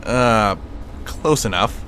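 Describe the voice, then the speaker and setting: Man as a lowish-voiced, level-headed pilot. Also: lively intonation, slow pace, fairly bright tone, a little hiss or hum. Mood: firm. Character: level-headed military pilot keeping the peace. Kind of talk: sci-fi audio drama acting.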